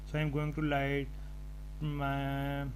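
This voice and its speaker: A man presenting an unscripted step-by-step tutorial, haltingly thinking aloud as he types. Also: mid-range voice, monotone. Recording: home recording with clear background noise.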